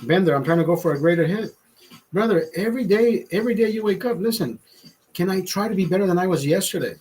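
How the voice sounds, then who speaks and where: A man in his forties with a notably high voice, chatting loosely to his livestream viewers. He rambles, swears freely and restarts phrases, and he sounds a little tipsy as he sips beer. His pep talks swing loud and emphatic. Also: lively intonation, quick pace, poor audio. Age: 40s. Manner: loud emphatic motivational rants. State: drinking beer, a bit tipsy. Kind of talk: casual livestream chat.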